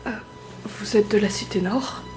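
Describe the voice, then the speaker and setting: A woman's voice: medium pitch, moderate pace, darkish tone, natural voice. A woman playing an anxious, defensive young survivor in an audio drama, blunt and stammering. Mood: groggy, confused. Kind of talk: French audio drama acting. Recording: roomy echo, music behind.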